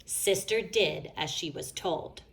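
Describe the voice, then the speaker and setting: A woman's voice: on the low side, slow pace, gravelly, fairly bright tone. A woman reading a children's storybook aloud expressively, with dramatic emphasis. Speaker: story reader.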